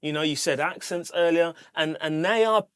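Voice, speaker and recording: A man's voice: a little high, normal speed, a bit bright, clean tone, no room sound, clean studio sound. A man with a London accent, hosting as a DJ in a casual interview, encouraging and chatty. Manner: encouraging, many affirming murmurs. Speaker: DJ and podcast host.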